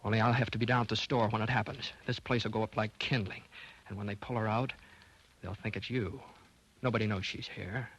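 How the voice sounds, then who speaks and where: An actor playing a scheming doctor and husband plotting murder in an old-time radio drama, hesitant under strain. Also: male voice, on the low side, steady tone, a little fast, a bit bright, slightly rough, poor audio. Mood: furtive, urgent. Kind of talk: old-time radio drama acting and first-person narration. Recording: old radio broadcast with music.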